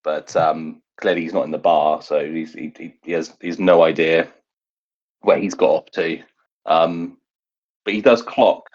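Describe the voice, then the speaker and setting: A man playing a tabletop roleplaying game, narrating his character in a halting way and often reworking his phrases. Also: very deep voice, steady tone, a little mellow. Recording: dull, lo-fi recording.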